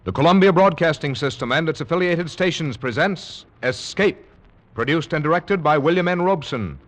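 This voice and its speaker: Higher-pitched formal male radio announcer. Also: animated, normal speed, a bit bright. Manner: formal, declamatory. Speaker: network announcer.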